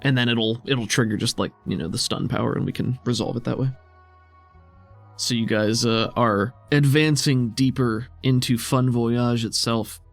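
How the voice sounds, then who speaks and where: A man's voice: mid-range voice, fairly even intonation, moderate pace, vocal fry. Man game-mastering over music. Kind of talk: improvised tabletop game narration and rules talk. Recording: music under the voice. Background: background music.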